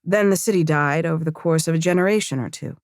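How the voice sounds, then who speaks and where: A woman's voice: deep voice, fast, dry recording, studio quality, close to an everyday voice. An actress playing a seasoned female leader of fantasy fighters in an audiobook, curt, commanding and matter-of-fact. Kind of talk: audiobook character acting.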